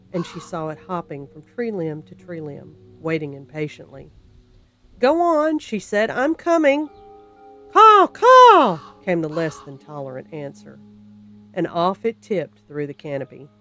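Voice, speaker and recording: Narrator for horror fiction: dramatic, deliberate, suspenseful storytelling over a music bed. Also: mid-range voice, animated, pushed and bright.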